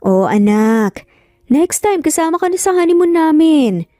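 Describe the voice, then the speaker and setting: A woman's voice: sits high, big pitch swings, measured pace, dark tone, no echo, clean studio sound, pitched above the performer's own voice. A woman voicing a teasing, cocky young father who puts on a nonchalant grumpiness. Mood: amused.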